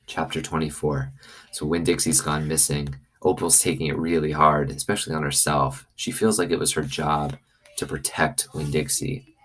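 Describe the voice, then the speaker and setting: A man's voice: very deep voice, a bit bright, slightly rough. A man reading a children's novel aloud like a teacher, with commentary along the way. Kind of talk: expressive storybook reading with commentary.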